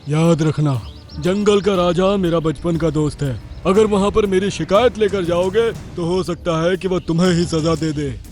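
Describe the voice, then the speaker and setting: Man voicing a sly high-pitched bully wolf. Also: moderate pace, a little mellow, soft background music, natural voice. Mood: menacing swagger. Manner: swaggering, mocking, chuckling slyly. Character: sly arrogant bullying male wolf, smug villain. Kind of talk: Hindi children's cartoon voice acting.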